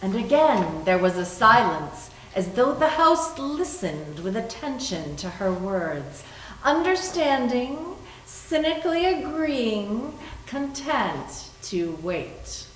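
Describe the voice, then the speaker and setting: A woman's voice: a little high, very animated, slow pace. A woman giving a dramatic scripted narration as a readers theater performer. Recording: echoey room, distant mic.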